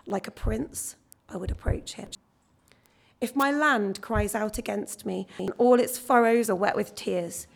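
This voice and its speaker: A woman reading a long Bible passage aloud in church, her voice husky from singing. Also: mid-range voice. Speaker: scripture reader.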